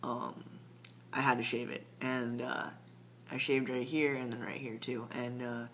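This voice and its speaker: A man's voice: mid-range voice, little pitch movement, measured pace, bright, forceful tone. A young man talking through a casual late-night video diary, rambling with lots of fillers and sometimes dropping to a mumble. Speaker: vlogger. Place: home at night. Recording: faint hum behind the voice.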